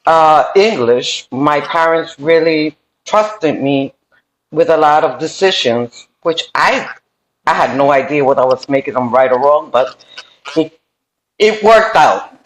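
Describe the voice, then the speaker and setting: A woman's voice: low-pitched, lively intonation, measured pace, slight background noise. A middle-aged woman who grew up in New York and speaks Spanish first, with a Spanish and New York accent. She is a podcast guest telling her life story earnestly, with frequent ums and restarts. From New York City. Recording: roomy echoey room sound.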